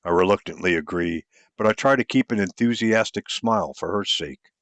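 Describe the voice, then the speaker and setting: Low-voiced man narrating a story. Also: fairly quick, a bit bright, dry recording. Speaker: audiobook narrator. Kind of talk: first-person dramatic story narration.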